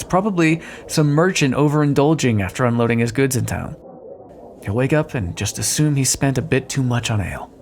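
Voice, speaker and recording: Casting: male actor as a sardonic fantasy rogue for audio roleplay, lively intonation, gravelly edge, noisy ambient backdrop. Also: medium pitch. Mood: dry, nonchalant. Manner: sardonic, teasing, conversational. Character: roguish male thief escorting a deposed queen, wry but decent. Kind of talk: audio roleplay acting, addressed to the listener.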